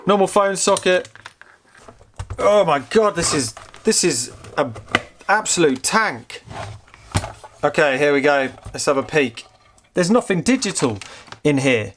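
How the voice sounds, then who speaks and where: A British man giving casual, excitable hands-on commentary as he tinkers with gadgets, thinking aloud informally. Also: fairly high pitch, a little slow, pauses often, noisy background. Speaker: host of a vintage technology museum channel.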